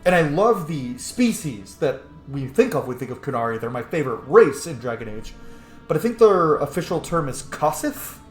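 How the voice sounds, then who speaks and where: Gaming host: casual, opinionated, sweary commentary, very animated intonation, roomy echo. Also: medium pitch, moderate pace. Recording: echoey room, faint game music.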